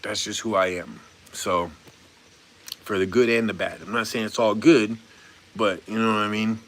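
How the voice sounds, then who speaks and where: A man's voice: lowish voice, steady tone, normal speed, a bit bright. A man in his forties chatting casually on a live stream, reading viewer comments aloud and answering them in a laid-back, rambling, goofy way with a chuckle now and then. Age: 40s.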